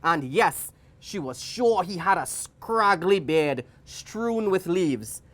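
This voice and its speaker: A woman's voice: lowish voice, lively intonation, takes it slowly. A woman with a Trinidadian accent reading a storybook aloud to children, theatrical and playful, with chatty asides. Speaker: guest story reader for children.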